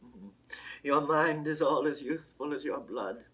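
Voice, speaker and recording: An actor playing a shrewd, worldly cardinal in a classical Shakespearean audio drama, speaking in a measured, oratorical way. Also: male voice, a little high, sharp tone. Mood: shrewd counsel. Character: shrewd, worldly churchman, a cardinal coolly counselling.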